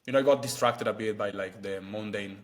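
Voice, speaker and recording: A man's voice: fairly low pitch, brisk. A man, chatting casually to camera as a vlogger with many fillers and asides. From Italy. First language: Italian. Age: 20s.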